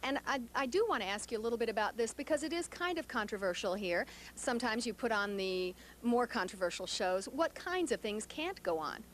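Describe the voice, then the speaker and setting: A woman's voice: a little high, animated, brisk, few pauses. A woman from Texas, a television reporter doing a chatty, informal live interview on location. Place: indoors at a cable TV studio and edit room. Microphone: handheld stick microphone. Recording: noisy live TV location sound.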